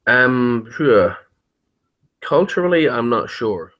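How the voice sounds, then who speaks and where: A man's voice: medium pitch, sing-song, takes it slowly, a bit bright. A man chatting casually as an interview guest, rambling and hesitant, with a joking streak and easy swearing. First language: English. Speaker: interviewed YouTube horror story narrator.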